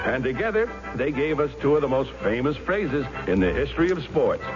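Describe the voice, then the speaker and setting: A man narrating a scripted 1970s radio advertisement as a commercial announcer. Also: medium pitch. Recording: muffled old radio, music behind.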